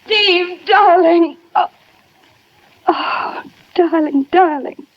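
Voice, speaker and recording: A woman's voice: very high-pitched, extremely slow, exaggerated voice. An actress playing an affectionate, poised wealthy wife with theatrical, ladylike delivery in a 1950s radio drama. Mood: gushing, tender. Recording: muffled 1950s radio recording.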